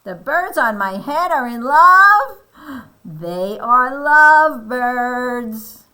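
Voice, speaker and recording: A woman voicing an excitable, exasperated male elephant from a picture book, loud and indignant in disbelief. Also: a little high, takes it slowly, voice pushed up, heavily acted. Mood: startled disbelief.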